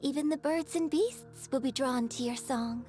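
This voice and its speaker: An actress playing a gracious opera performer in a video game, coaching patiently with polished, gentle, encouraging delivery. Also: female voice, sits high, moderate pace, soft background music, natural voice. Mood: poised, encouraging. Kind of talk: scripted video game voice acting.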